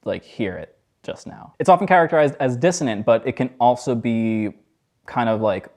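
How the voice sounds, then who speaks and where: A man with a low voice explains music theory in a loose, chatty way and pokes fun at his own slips. His pitch sits in the middle range for a man. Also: normal speed, a little mellow. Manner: loose, joking, self-deprecating. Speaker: music teacher. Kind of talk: casual music lesson.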